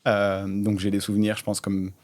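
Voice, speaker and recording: A man in his thirties, a startup founder, telling his story in a relaxed way with many hesitations in a casual interview. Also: lowish voice, moderate pace. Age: 30s.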